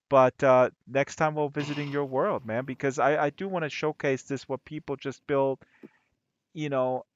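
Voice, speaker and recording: A man's voice: mid-range voice, clean tone, dry recording. A man with a German accent hosting a casual live stream, joking and teasing his guests as he chats. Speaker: host of a virtual-world tour stream. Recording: dull, lo-fi stream audio.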